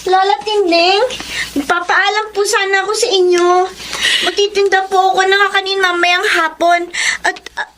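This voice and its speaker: Casting: dutiful young girl, very high earnest voice, radio drama, faint background noise. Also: flat delivery, normal speed, sharp tone. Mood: earnest, moved. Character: gentle, dutiful young daughter. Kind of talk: Tagalog radio drama acting.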